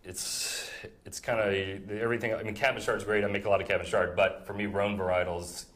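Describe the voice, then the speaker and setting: A man, a winemaker answering interview questions in an easygoing, halting way with many pauses. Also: lowish voice, flat delivery, a bit bright. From southern United States. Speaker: winemaker guest. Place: indoor room with air conditioning.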